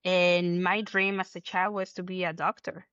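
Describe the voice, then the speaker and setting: A woman from Mexico City with a Mexican Spanish accent, a native Spanish speaker, giving an earnest, animated interview from home over a remote call. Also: on the low side, moderate pace, smooth, no room sound, dull audio. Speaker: interview guest. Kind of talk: conversational interview.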